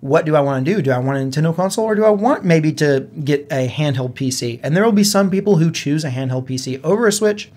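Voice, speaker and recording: A man's voice: on the high side, expressive, fast talker, few pauses, darkish tone. A man co-hosting a gaming podcast in casual, conversational style. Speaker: podcast co-host.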